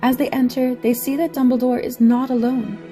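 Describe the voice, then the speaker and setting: A woman's voice: high-pitched, flat delivery, moderate pace, dark tone, faint music behind. A woman reading scripted story narration for an audio drama.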